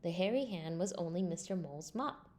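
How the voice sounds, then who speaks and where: A woman reading a scripted children's storybook aloud in an expressive way. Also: fairly low pitch, fairly quick. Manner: expressive, acts out character lines. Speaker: storybook reader.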